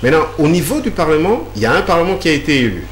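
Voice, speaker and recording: A man's voice: a little high, big pitch swings, racing. A man answering interview questions at length, explaining patiently with many fillers and restarts. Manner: hesitant, many fillers and repeated words. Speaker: guest. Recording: echoey room with faint hum.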